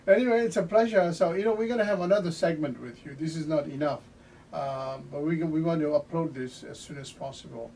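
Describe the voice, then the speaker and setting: A middle-aged man hosting a casual interview, halting with fillers and restarts, often saying "mm-hmm" while his guest speaks. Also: fairly high pitch, a little mellow. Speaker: talk show host. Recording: distant mic with faint noise.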